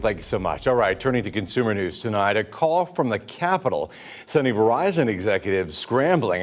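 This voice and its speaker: An American man anchoring a TV newscast, reading scripted news stories in a studio. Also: fairly low pitch. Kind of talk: scripted newscast with brief chat.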